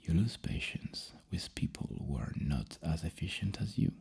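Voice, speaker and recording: An extremely low, gravelly voice that slips in and out of a whisper. It speaks softly and close to the mic as an ASMR psychologist, circling back to rephrase questions. The tone is dark and very husky. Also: sing-song, normal speed. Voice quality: breathy, husky, creaky whisper. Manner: hesitant, repeats and rephrases questions. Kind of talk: soft-spoken whispered ASMR roleplay. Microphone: close microphone.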